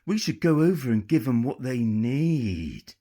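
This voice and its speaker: A man voicing a rough, lustful pirate crewman in an audiobook, calling out loudly and eagerly. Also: medium pitch, very animated, unhurried. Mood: lustful excitement.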